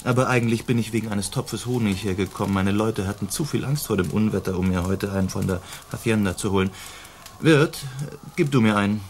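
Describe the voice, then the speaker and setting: Man as a suave, lowish-voiced gentleman hero. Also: fairly quick, a little hiss or hum, close to an everyday voice. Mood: genial, casual. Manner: polite, cool, composed. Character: suave, self-assured nobleman, secretly a masked avenger. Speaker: actor in a radio play. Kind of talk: German radio play acting.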